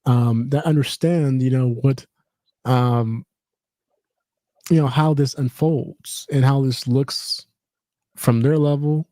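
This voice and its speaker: A man's voice: medium pitch, fairly even intonation, slow pace, pauses often, dark tone, no echo. A man hosting a casual podcast chat, rambling with lots of fillers and restarts. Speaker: podcast host.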